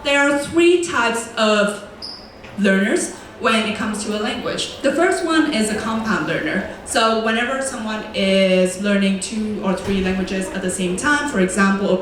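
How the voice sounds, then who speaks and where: Young woman, native Vietnamese speaker, student presenter, measured and clear, recorded from far off in a very reverberant hall. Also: mid-range voice, a little hiss or hum. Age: young adult. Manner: clear, deliberate, slows to stress key words. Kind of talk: prepared lecture presentation. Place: large echoey lecture room. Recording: very echoey room, voice from far off.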